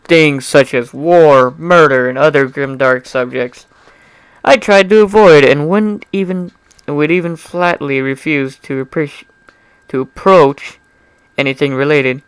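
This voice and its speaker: A man's voice: on the high side, measured pace, clear, resonant tone, no echo, rough recording. A man doing an informal dramatic story reading with casual asides, stumbling over words and correcting himself. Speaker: amateur fan fiction reader.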